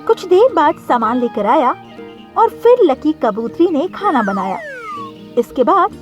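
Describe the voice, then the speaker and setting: High, lively woman storyteller. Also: a little slow, faint music behind. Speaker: narrator. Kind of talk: scripted cartoon story narration.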